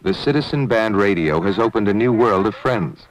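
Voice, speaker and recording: Deep-voiced documentary narrator, a man. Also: lively intonation, low-quality recording. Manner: measured, formal delivery. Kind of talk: scripted newsreel-style narration. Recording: 1970s film soundtrack with music. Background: background music.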